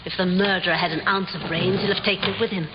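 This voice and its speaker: An imperious actress as a woman who runs a theatre company, speaking in crisp, commanding, formal phrases. It is 1950s radio drama, with a hall-like echo and a dulled, faintly noisy sound. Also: lowish voice, steady tone, fast, lightly acted. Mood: matter-of-fact. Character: imperious, loyal female head of a theatre company. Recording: muffled, echoing, hissy old radio recording.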